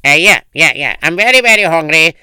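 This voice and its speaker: A man's voice: fairly high pitch, animated, fairly quick, sharp tone, smooth, dry recording. A man voicing a poor, hungry male beggar in a told story, hesitant and humble. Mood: pleading.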